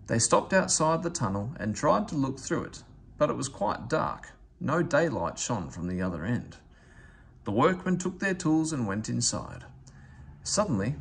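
Male storybook reader, lively intonation, creaky edge, expressive narration, light background noise. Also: medium pitch. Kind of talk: children's story read aloud, scripted narration.

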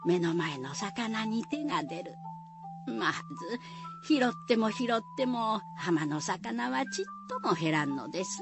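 A woman narrating a folk tale for radio in a folksy manner, with rustic dialect. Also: on the high side. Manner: folksy storytelling. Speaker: storyteller narrator.

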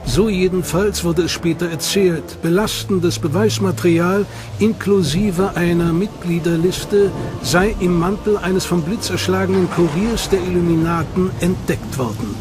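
A man narrating a documentary in a measured, dramatic manner. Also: sits high. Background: music.

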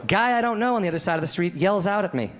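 A man in his thirties doing a deadpan stand-up routine on a comedy club stage, acting out the voices of the people in his stories, into a handheld mic. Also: high voice, rapid-fire, background noise. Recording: live club recording with crowd laughter. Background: audience laughter.

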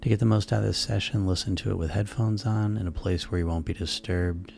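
Deep, creaky-voiced man guiding sleep hypnosis. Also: steady tone, darkish tone. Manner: soothing, unhurried, repetitive. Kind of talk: guided sleep hypnosis narration. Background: relaxing background music.

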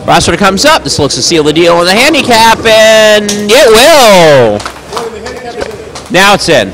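A man giving live play-by-play commentary on a bowling match in a bowling alley. He has a loud, projecting voice and makes chatty, joking asides between calls. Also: high voice, very animated, measured pace, background noise.

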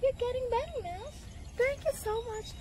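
Very high squeaky little puppet animal. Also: female voice, lively intonation, normal speed, noisy background. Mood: friendly, explaining. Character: cheerful young female rabbit and mouse puppets, friendly and eager. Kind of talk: scripted educational puppet show.

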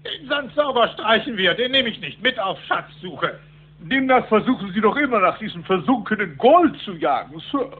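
An actor, a man, playing a husky-voiced character of a duck household in a cartoon audio drama. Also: sits high, very animated, moderate pace, exaggerated voice. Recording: dull cassette tape sound.

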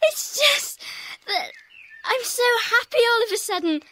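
A woman's voice: extremely high pitch, big pitch swings, a little slow, pushed and bright, a little hiss or hum, heavily acted. A woman playing a sensitive little schoolgirl, crying as she speaks, in a children's audio drama. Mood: tearfully happy.

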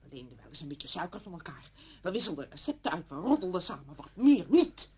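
An actress playing an exasperated, blunt, emotional neighbour in a radio play, agitated and emphatic. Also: female voice, sits low, sing-song, fast, breathy edge, heavily acted. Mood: angry, resentful. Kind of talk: Dutch radio play acting.

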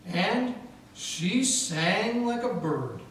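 A man narrating and playing a wisecracking hardboiled detective in a live 1940s radio play, deadpan. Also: high-pitched, extremely slow, a little hiss or hum. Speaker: narrator and lead actor. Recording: echoey hall from far off with music.

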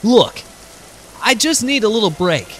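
A woman voicing a cocky young male hedgehog hero, rattled but defiant, with exasperated, expressive delivery. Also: mid-range voice, unhurried, a little hiss or hum. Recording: loud music behind.

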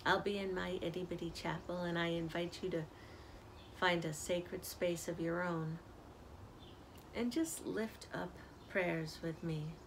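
An older woman, a community minister, giving a gentle spiritual reflection on an outdoor porch, filmed by a camera close up. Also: fairly low pitch, fairly even intonation, slight background noise, low-quality recording. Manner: slow, soft-spoken, reflective. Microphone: camera at close range. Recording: outdoor ambience audible behind.

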